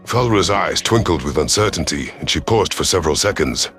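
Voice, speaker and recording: Deep-voiced male sci-fi narrator. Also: expressive, brisk, a bit bright, soft background music. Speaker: audiobook narrator. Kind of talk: first-person dramatic sci-fi story narration.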